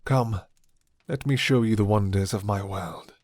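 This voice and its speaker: A man voicing a suave, commanding vampire lord in an ASMR roleplay, with formal, breathy, half-whispered menace. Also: on the low side, a little slow, a little mellow, dry recording, clean studio sound. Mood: persuasive.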